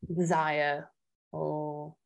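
A woman's voice: sits low, flat delivery, drawn-out words, vocal fry, clean tone. A woman from Italy in a casual interview as a guest, thinking aloud and hesitating as she answers. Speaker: guest singer-songwriter.

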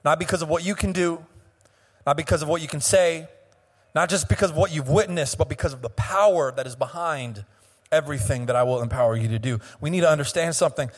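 A slightly hoarse young man preaching a sermon as pastor. He speaks with passion, stretches and half-sings phrases, and often restarts his words. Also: on the high side, in a large hall. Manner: impassioned preaching with sung, drawn-out phrases and frequent restarts. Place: church sanctuary.

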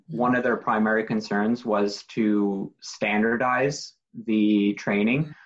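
Methodical man, slightly dull sound. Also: medium pitch. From Canada. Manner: methodical, explanatory, frequent ums and uhs. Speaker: paralegal guest. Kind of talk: interview answers.

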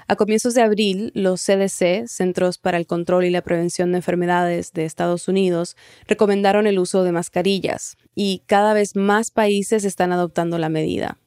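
A woman's voice: on the low side, fairly even intonation, normal speed, a little mellow, clean tone, dry recording. A woman hosting a narrative news podcast, reading scripted narration and putting questions on a close mic. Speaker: podcast host.

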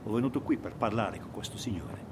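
An actor playing a mysterious masked Venetian mask-maker in an audio drama, hushed, terse and cryptic. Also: male voice, fairly low pitch, expressive, fast, noisy background, lightly acted. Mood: cryptic, guarded.

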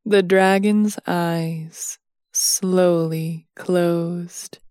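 A woman reading a scripted bedtime story in a soothing, measured manner, leaving long pauses between phrases. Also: lowish voice, darkish tone, dry recording, clean studio sound.

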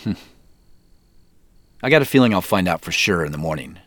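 A man's voice: low voice, lively intonation, a little fast, no echo. A man acting as a guy on a family quest in an audio drama, in natural, conversational, slightly hesitant dialogue. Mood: pensive, intrigued. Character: Joseph, adult man on a mysterious family quest.

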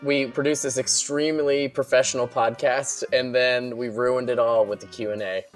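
A man's voice: medium pitch, steady tone, moderate pace, music in the background, rough recording. A man moderating questions casually as the sound designer.

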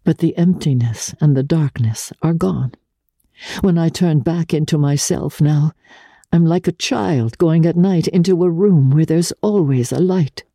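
A woman playing an earnest, slow-spoken genteel lady. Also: sits low, no echo, studio quality, voice pushed up. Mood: calm, wistful. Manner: hushed, deliberate, fervent. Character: earnest, sorrowful, self-denying genteel lady of old New York. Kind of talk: dramatic audiobook character reading.

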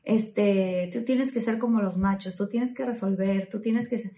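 A woman's voice: medium pitch, a little slow, dark tone. A woman with a Mexican Spanish accent, co-hosting a casual conversation and asking follow-up questions.

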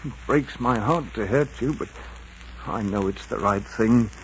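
A man playing a stern but loving middle-aged innkeeper father, earnest and sorrowful, in 1940s radio drama style. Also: fairly low pitch. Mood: sorrowful resolve. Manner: earnest, paternal, measured. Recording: muffled, noisy 1940s radio recording.

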